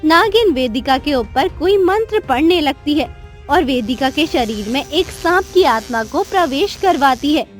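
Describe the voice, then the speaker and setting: High-voiced woman telling a cartoon story. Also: a little slow, faint music behind. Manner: steady, clear storytelling. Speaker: narrator. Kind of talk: scripted storybook narration.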